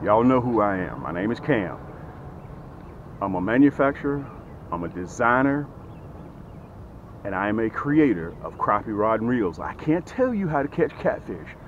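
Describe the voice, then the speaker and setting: Excited middle-aged man selling fishing gear. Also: lowish voice, moderate pace, pauses often, dull audio, a little hiss or hum. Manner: animated, repeats "okay?" and "guys", gestures with hands. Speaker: fishing vlogger and tackle seller. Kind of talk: energetic sales pitch and fishing vlog. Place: outdoors at a lakeshore. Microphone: camera held close to the face.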